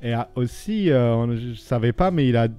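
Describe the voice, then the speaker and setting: Mellow male film commentator over soft music. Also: medium pitch, animated, moderate pace. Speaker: film critic, radio contributor.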